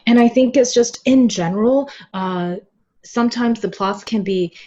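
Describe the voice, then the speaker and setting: A woman guest explaining her views in a casual discussion, pausing to find her words. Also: fairly high pitch, mellow. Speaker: podcast guest. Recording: slightly dull, lo-fi audio.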